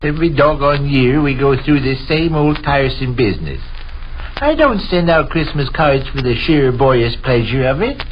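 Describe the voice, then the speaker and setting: Actor as pompous American husband, old radio. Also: male voice, mid-range voice, animated, moderate pace, natural voice. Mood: exasperated, pompous. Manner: pompous, wordy, indignant. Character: Vic, exasperated, stuffy middle-class bookkeeper husband. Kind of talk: 1930s radio comedy acting. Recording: dull, noisy 1930s radio recording.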